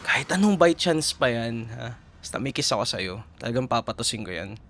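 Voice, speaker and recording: A man's voice: medium pitch, lively intonation, a little fast, a bit bright. A man playing a young Filipino boyfriend, tender and proud, in an intimate audio roleplay, with a Filipino accent. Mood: playful, affectionate. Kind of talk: ASMR boyfriend roleplay drama.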